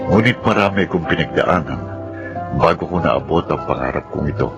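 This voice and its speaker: A man's voice: extremely low pitch, fairly quick, muffled recording, over loud music. An actor with a Filipino accent playing an earnest, patient bachelor lawyer in his thirties in a radio drama. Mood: solemn reflective. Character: earnest 32-year-old bachelor lawyer, patient, devoted to work. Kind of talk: Filipino radio drama acting and first-person narration.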